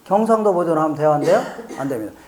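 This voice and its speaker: A man's voice: on the high side, animated, rapid-fire, a little mellow. A man from the Chungcheong region teaching an exam prep lecture, playful and jokey, quizzing listeners with rhetorical questions and answering them himself. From Chungcheong region, Korea. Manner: playful, jokey, drills mnemonics by repetition.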